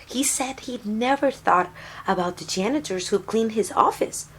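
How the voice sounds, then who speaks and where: A woman reading the part of a loving, proud activist mother in a storybook, gentle and earnest. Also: a little high, moderate pace, a little hiss or hum, pitched above the performer's own voice, lightly acted.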